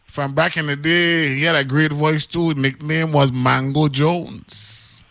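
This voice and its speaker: A man's voice: a little high, slow, dry recording. A man, hosting a casual radio chat and tripping over repeated words as he reminisces. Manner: loose, repeats words, stammers when excited.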